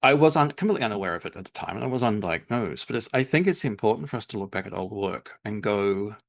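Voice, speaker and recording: A man's voice: lowish voice, animated, fast, vocal fry. Rambling man with a mixed transatlantic accent. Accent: mixed North American and English. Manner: rambling, repeats words when searching for them. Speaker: podcast host. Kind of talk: casual conversation.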